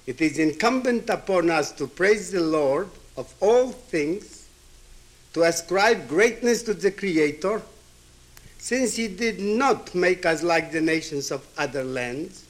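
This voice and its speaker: A man in his seventies from Ukraine who grew up with Hebrew and Yiddish delivers a reflective address. His voice sits fairly high for a man and moves very slowly. He is emphatic, repeating key phrases and posing questions to his listeners. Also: lively intonation. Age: 70s. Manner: emphatic, with rhetorical questions and repetition.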